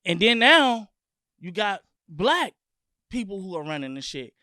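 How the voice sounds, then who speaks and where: A man from Long Beach, California, a guest music artist talking in an animated, profane, emphatic way in a casual sit-down interview. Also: high-pitched, a little slow, no echo, studio quality.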